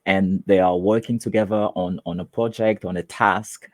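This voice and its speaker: A man from Haiti whose first language is Haitian Creole, a teacher answering interview questions in a halting way with many restarts. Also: deep voice, steady tone, no room sound, studio quality. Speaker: teacher and guest.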